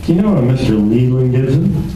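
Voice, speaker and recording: A man's voice: medium pitch, expressive, brisk, natural voice. A man playing a suave, wisecracking private detective in an amateur old-time radio play. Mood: matter-of-fact, coaxing. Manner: suave, teasing, casual. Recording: very echoey room, distant mic, clear noise.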